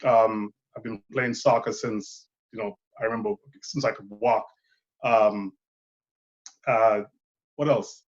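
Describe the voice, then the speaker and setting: A man's voice: on the low side, steady tone, slow, dull audio. A man in his thirties from Saint Lucia, a filmmaker guest talking thoughtfully and rambling a bit. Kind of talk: casual interview chat.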